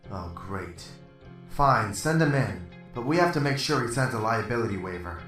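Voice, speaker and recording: A man's voice: fairly low pitch, animated, normal speed, a bit bright. A man playing a restrained, by-the-book police detective in a radio drama, speaking firmly and with control. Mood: exasperated. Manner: firm, controlled, reproachful. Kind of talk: amateur radio drama acting. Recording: roomy, slightly distant, music underneath.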